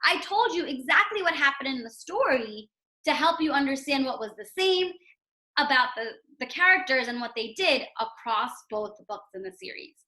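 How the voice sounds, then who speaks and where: A woman's voice: high-pitched, expressive, moderate pace, bright, forceful tone, reverberant, poor audio. A woman teaching young children in a read-aloud lesson, instructional, repeating key points and checking understanding. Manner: instructional, repetitive emphasis, checks with "right?" and "okay?". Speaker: elementary school teacher.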